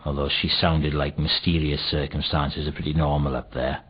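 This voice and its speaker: An actor playing a surly, sarcastic bartender in a fantasy noir video game, talking in a grudging way. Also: male voice, very deep voice, flat delivery, normal speed, husky, heavily acted. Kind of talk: scripted video game dialogue. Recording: dull, lo-fi game audio.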